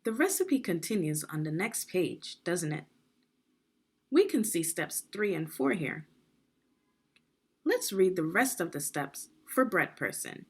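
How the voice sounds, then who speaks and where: A woman reading aloud as a teacher for young children, explaining things deliberately and checking in with questions. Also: on the low side, sing-song, slightly rough.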